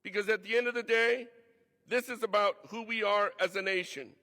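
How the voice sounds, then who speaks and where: Bright high-voiced congressman, resolute. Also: steady tone, fairly quick. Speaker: congressman closing a floor speech. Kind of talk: formal floor speech. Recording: long reverb of a large chamber.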